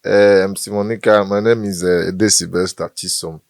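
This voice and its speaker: Nigerian man, very low voice. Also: steady tone, normal speed, gravelly, no room sound, clean studio sound. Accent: Nigerian, Bayelsa-tinged Igbo. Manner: playful, jokey, slips into pidgin. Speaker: comedian guest. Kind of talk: casual podcast interview.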